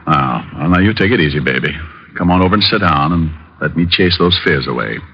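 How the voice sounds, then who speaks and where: An actor playing a sardonic, flirtatious hard-boiled private eye in a vintage radio detective drama. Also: male voice, rumbling low, expressive, breathy edge, full character voice. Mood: flirtatious, wry. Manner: sardonic, brisk quips. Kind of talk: 1940s radio detective drama acting and narration. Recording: muffled old radio recording.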